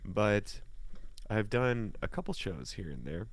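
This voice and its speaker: Male guest, lowish pitch, dry close recording, laid-back, colloquial, casual storytelling, pauses mid-thought. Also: lively intonation, no room sound. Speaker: guest musician and voice talent. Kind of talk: casual chat, personal anecdotes.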